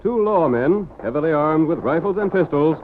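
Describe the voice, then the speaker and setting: A man's voice: a little high, expressive, normal speed, noisy background, close to an everyday voice. A man as a grand, declamatory American announcer narrating a western adventure in vintage radio style. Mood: dramatic narration. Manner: dramatic, declamatory. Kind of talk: 1930s-40s radio announcing. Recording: muffled vintage radio recording with music.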